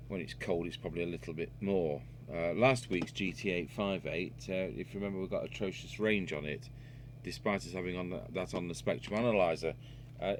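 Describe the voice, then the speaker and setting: A man with a fairly low voice talks through a hands-on repair job. There is some background noise and hum behind him. He speaks off the cuff, thinking aloud as he works. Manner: unscripted, musing aloud while working. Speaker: radio repair hobbyist and video presenter. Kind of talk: casual hands-on repair demonstration.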